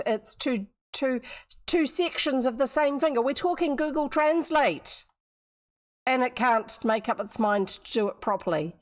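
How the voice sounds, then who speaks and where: A woman with a New Zealand accent reading a fairy tale aloud on a livestream, breaking off often for chatty asides and explanations. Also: high voice. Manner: chatty, digressive, explains old words.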